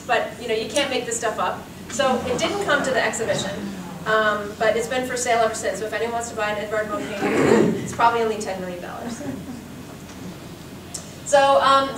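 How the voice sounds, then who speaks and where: A woman in her forties, a curator giving a talk, telling anecdotes in a chatty way and laughing often. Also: a little high. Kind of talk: lecture with anecdotes. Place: seminar room with audience. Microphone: room mic from far off. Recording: echoey room, distant mic, background hiss.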